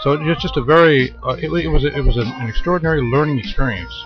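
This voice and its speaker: Unhurried man telling travel stories. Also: medium pitch. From Japan. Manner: unhurried, thoughtful storytelling. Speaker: guest. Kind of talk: casual interview. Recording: muffled lo-fi radio recording with music behind.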